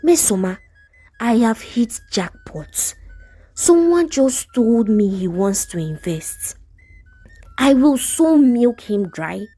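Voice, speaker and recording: Storyteller woman playing greedy young female scammer, Nigerian accent, cocky, persuasive, lively swooping pitch, folktale. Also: slow pace, husky, full character voice. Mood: greedy scheming.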